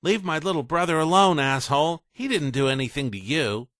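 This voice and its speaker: A man's voice: sits high, huge pitch swings, a little slow, creaky, fairly bright tone, dry recording, voice pushed up, heavily acted. A protective twelve-year-old big brother who talks his little brother round, as a man puts him on. The voice sits well above a man's usual range and moves a lot. The recording is close and dry. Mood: angry. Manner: coaxing, earnest.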